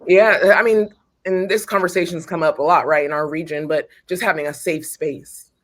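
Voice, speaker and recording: A woman's voice: sits low, steady tone, moderate pace. A woman moderating a panel in a conversational way, often checking in with "right?". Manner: seeks agreement with frequent "right?".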